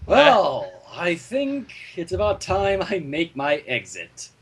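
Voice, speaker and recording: A man's voice: high-pitched, wildly swooping pitch, slow pace. A man narrating fan fiction and bantering casually in a playfully theatrical way. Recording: noisy home mic with clicks.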